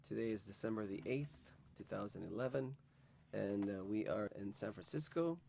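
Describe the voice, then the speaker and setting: A man in his thirties, a youth program coordinator, speaking in a recorded interview in a measured way with frequent fillers. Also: lowish voice, expressive, creaky, mellow, a little hiss or hum. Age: 30s. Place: museum interview room.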